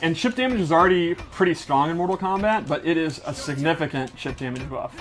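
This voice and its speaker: A man with a slightly high voice walks through gameplay moves in a casual way. He sounds like a keen developer, explaining mechanics with enthusiasm and slang. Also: expressive, a little fast, faint music behind. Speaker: game developer demonstrating fighter moves. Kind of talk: casual gameplay demonstration commentary.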